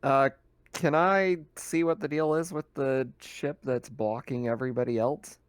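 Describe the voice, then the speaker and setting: A man's voice: medium pitch, fairly even intonation, measured pace, clear, resonant tone, dry recording. A man chatting casually as a player in a tabletop game.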